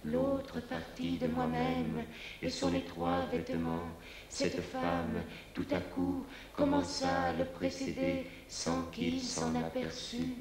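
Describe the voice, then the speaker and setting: A woman playing an anguished, formless shadow spirit in a theatrical film monologue, declaiming solemnly and accusingly in measured phrases. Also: a little high, huge pitch swings, reverberant, over a noisy crowd, full character voice.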